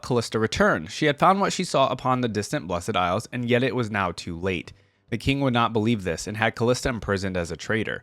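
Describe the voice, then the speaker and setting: A man's voice: medium pitch, dry recording. A man hosting a reaction video in a casual, conversational way, moving between reading lore aloud and informal commentary. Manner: informal, conversational asides between read passages.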